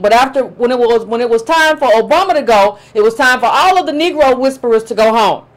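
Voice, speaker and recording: Slightly hoarse woman hosting a livestream. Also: high voice, low-quality recording. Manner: direct, opinionated, talks to her chat. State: a little hoarse. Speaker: political commentary host. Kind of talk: casual livestream commentary. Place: small room with a chalkboard backdrop.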